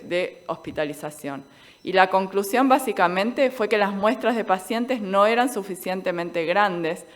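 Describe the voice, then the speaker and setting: A woman with an Argentine accent giving an explanatory medical lecture in a didactic way, posing questions and answering them. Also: on the low side. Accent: Argentine Spanish.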